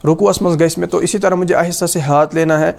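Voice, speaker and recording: A man with a Kashmiri accent and a slightly high voice presents a religious lesson. He explains rules practically and instructively, going back over each point as a teacher would. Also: steady tone, fast, vocal fry, darkish tone. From Kashmir, South Asia. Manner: instructive, repeats points in two languages.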